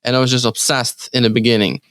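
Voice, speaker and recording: A man from Ukraine speaking English as his second language with a Ukrainian accent, answering interview questions in a halting way with many restarts and fillers. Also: mid-range voice, flat delivery, fairly bright tone, clean tone, no room sound, studio quality. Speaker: real estate team leader, guest.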